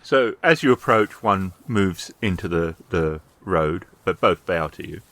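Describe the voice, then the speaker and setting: Slow, deep-voiced man narrating a game. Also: animated, a bit bright, dry recording. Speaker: game master, storyteller. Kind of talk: narrating a tabletop role-playing game.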